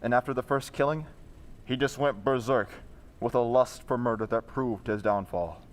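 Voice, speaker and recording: A man playing a calm detective hero in an old-time radio drama, explaining things in a declamatory way. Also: medium pitch, moderate pace, natural voice. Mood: calm, explanatory. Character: male detective hero Lamont, calm, explaining a murder case.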